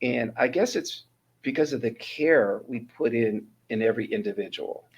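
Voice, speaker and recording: A man's voice: medium pitch, normal speed, slightly rough, highs cut off. A man in his sixties from Northern California, a ministry mentor coordinator telling his life story as an interview guest, earnest and prone to restarting his sentences. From Northern California, USA. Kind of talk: casual interview talk, personal testimony.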